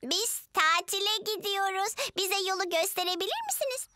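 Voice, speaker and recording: A woman's voice: very high-pitched, lively intonation, moderate pace, pushed and bright, no room sound, clean studio sound, heavily acted. A woman voicing a cheerful, curious little girl pig in a children's cartoon, bubbly and inquisitive. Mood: curious, excited.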